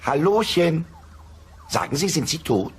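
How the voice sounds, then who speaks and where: A man's voice: on the high side, sing-song, a little slow, slight background noise. A man playing a laconic dinosaur buddy in a comedy audio play, dry and agreeable.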